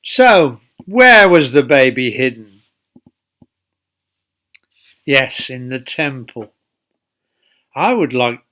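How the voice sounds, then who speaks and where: Slow-talking man, expressive children's storyteller. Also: a little high. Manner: deliberate, emphatic, addressing young listeners directly. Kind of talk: expressive children's Bible storytelling, then gentle address and prayer.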